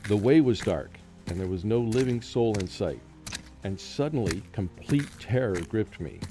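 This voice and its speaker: A man acts out a frightened professor in a radio horror drama. His voice sits low, halting and brooding, with music playing clearly underneath. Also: expressive, lightly acted. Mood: rising terror. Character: frightened haunted male college professor recounting a curse.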